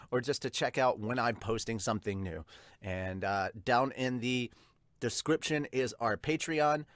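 A man's voice: medium pitch, no echo. A man in his thirties chatting casually as a manga reviewer and host, recorded close on a desktop mic at home. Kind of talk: casual chat, reading viewer comments. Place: small home room with bookshelves. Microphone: large desktop microphone with pop filter, close.

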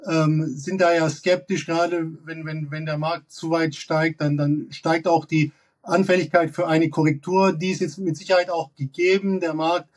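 A man in his thirties giving analytical market commentary as a guest expert, pausing often with fillers and restarts. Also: fairly high pitch, little pitch movement, recorded from a distance. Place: office with glass wall.

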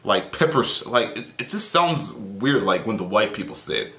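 A young man reacting to a video from his small bedroom, excitable and playful, with teasing asides into the webcam. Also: lowish voice, moderate pace. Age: young adult. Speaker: reaction vlogger. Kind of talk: casual reaction commentary. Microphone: webcam at desk, earphones in. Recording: echoey room.

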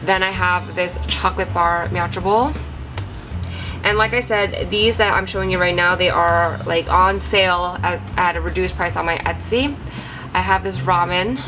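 A young woman talks in a chatty, rambling way, her voice stuffy and congested from a cold. Her voice sits a little low, and clear background music plays under her voice-over. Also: moderate pace. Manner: chatty, apologetic, with frequent um and like. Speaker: craft channel host. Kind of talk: casual show-and-tell vlog.

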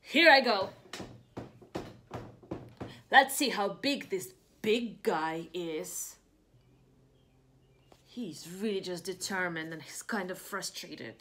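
A young woman teaching preschoolers through a picture-book read-aloud, asking questions expressively and pausing for answers. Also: fairly low pitch, a bit bright, a little hiss or hum. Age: young adult. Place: small home room indoors.

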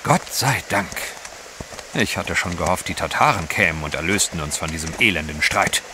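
An actor playing a bold, unflappable gentleman adventurer in an audio drama, brisk and dryly witty. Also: low-pitched, lively intonation, noisy background. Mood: wry. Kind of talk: German radio play acting.

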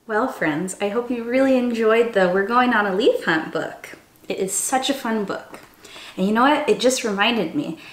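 A young woman, a children's storytime teacher, reading a picture book aloud in an animated, sing-song way, speaking straight to young listeners. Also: on the high side. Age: young adult. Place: small room indoors. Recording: roomy indoor echo.

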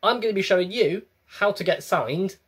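A man's voice: high voice, moderate pace, slightly rough. A young English man, a comedian, talking to camera in a wry, chatty, self-mocking comic monologue from a small room. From England. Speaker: comedian, web series host. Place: small bedroom with bookshelves.

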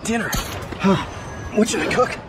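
An actor playing an earnest young American superhero scientist in a video game, casual and hesitant with awkward wisecracks. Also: male voice, high voice, normal speed, heavy background noise, lightly acted. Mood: eager, friendly. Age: young adult. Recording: music behind.

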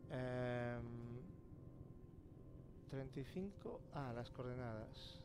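Spanish man commentating over loud game noise. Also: drawn-out words. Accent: Spanish from Spain. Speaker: gaming YouTuber. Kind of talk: casual gameplay commentary. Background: video game ambience, engine-like rumble.

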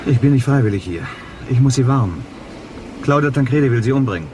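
An actor playing a dogged, old-fashioned police detective in a crime radio drama, dry, blunt and sarcastic. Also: male voice, mid-range voice, expressive, fast, close to an everyday voice. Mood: grim, resolute. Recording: clear background vehicle and street noise.

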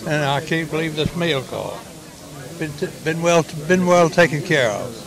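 A man's voice: a little high, lively intonation, normal speed, vocal fry, a crowd in the background, rough recording. A man in his nineties and a veteran, who repeats himself and restarts while speaking in a crowded airport terminal. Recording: crowded terminal noise behind.

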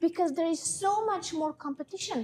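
A woman's voice: extremely high pitch, quick pace, darkish tone, slight background noise. A middle-aged woman from Hungary with a Hungarian accent, answering in a conversational interview in an emphatic, opinionated way. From Hungary, born in Romania. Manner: emphatic, opinionated, repeats points for stress. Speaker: interview guest, small business owner. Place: classroom, indoors. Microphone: lapel mic.

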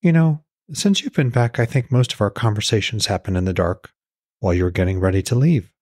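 A man's voice: on the low side, animated, a little fast, darkish tone, dry recording, studio quality. A man voicing a concerned, frank goddess wife, questioning and pointed, in an audiobook.

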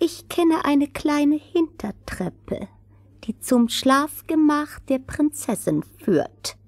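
A woman playing a polite tame female crow with formal, deliberate courtesy in a fairy-tale audio drama. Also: sits high, animated, dry recording. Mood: secretive.

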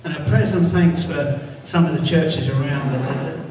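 A man's voice: a little high, a little slow, crowd noise. Middle-aged man leading prayer in a hall. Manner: relaxed, rambling, encouraging. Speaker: church leader. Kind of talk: leading prayer at a church meeting. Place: large church hall indoors. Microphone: handheld mic, filmed from far back. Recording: echoey hall audio from far off, faint hiss.

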